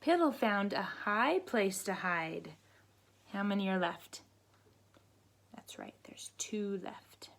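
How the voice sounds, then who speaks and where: A woman reading picture books aloud to children in a teacherly way, pausing to prompt listeners with questions. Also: mid-range voice, sing-song, gravelly. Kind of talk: read-aloud storybook narration with counting asides.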